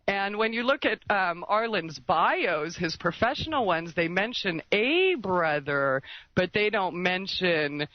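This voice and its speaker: A woman's voice: fairly low pitch, lively intonation, takes it slowly, dry recording. A woman talking insistently in an unscripted radio discussion, rambling and piling up lists. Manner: insistent, rambling, list-making. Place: call to an internet talk show. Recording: dull lo-fi internet radio call audio.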